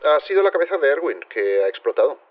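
An actor playing an unruffled zoology lab worker, matter-of-fact and deadpan, in a naturalistic audio comedy. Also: male voice, fairly high pitch, fast talker, no echo. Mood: deadpan, helpful. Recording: muffled phone-like line.

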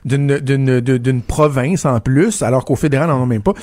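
A man's voice: mid-range voice, moderate pace, mellow, no room sound, clean studio sound. A man with a Québécois French accent, a political commentator chatting casually and telling anecdotes with frequent restarts. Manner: storytelling, many restarts and repeated words. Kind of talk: casual radio chat.

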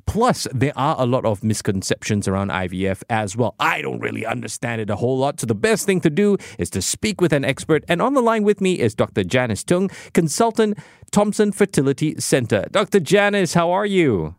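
A man's voice: mid-range voice, very animated, fairly quick, creaky, no room sound, studio quality. A man hosting a radio talk show, asking rambling, chatty questions with fillers. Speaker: radio host. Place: radio studio. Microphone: studio mic.

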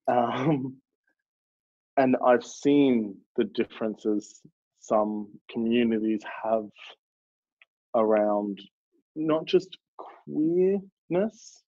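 A man's voice: medium pitch, drawn-out words, long pauses, dark tone, no room sound, lo-fi sound. A young Australian man talking about his life as a drag performer in an earnest, reflective way, with many fillers. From Melbourne, Australia. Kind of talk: casual podcast interview.

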